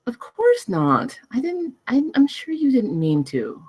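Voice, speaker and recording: Actress as cool housemaid, period drama, fairly high, soft dark timbre, varied intonation, restrained, dismissive. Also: female voice, huge pitch swings, measured pace, slightly rough, exaggerated voice. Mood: coolly reassuring. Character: cool, outwardly forgiving servant woman.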